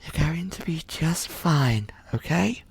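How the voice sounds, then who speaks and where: A woman voicing a calm, protective animatronic caretaker in an amateur comic dub, speaking in a measured, soothing way. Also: low voice, breathy edge, full character voice. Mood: gentle.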